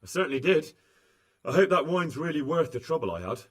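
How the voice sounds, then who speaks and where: Cheeky young servant, lively intonation. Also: male voice, on the high side, brisk. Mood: proud, protesting. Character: young man, plucky loyal servant of a lord. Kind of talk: video game cutscene acting.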